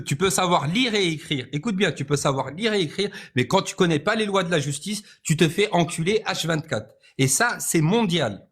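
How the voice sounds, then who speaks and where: A man from France argues hard in a live debate, his voice sitting high. He is insistent, talking over objections and demanding to be heard out. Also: fairly bright tone. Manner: insistent, keeps cutting in to finish his point. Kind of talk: heated street debate.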